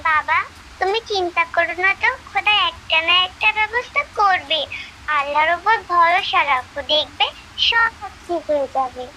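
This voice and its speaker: A woman's voice: very high voice, expressive, moderate pace, bright, forceful tone, slight background noise, heavily acted, rough recording. A woman voicing an earnest young village girl, a devoted and pleading daughter in a cartoon. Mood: reassuring. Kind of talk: Bengali cartoon voice acting.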